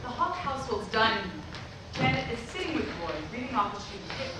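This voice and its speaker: Woman, stage directions narration, higher voice, distant, very echoey. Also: very animated, fast. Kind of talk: scripted narration. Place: theatre at a live staged reading. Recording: echoey, noisy hall recorded from far off.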